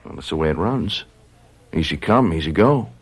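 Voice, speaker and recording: A cocky, callous young man in a radio drama. His low voice swings widely up and down as he talks in flippant slang, brushing everything aside. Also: animated, normal speed, husky. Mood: dismissive.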